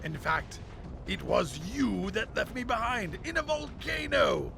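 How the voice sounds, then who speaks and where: Super high gravelly taunting villain, swoopy delivery, music behind, radio play. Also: male voice, very animated, exaggerated voice. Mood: indignant. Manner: exaggerated, mocking, drawn-out.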